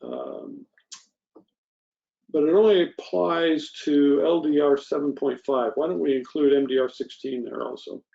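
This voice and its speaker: A man's voice: fairly high pitch, sing-song, measured pace, vocal fry, warm tone, muffled recording. A man serving as a commission member, questioning points methodically in an informal meeting discussion.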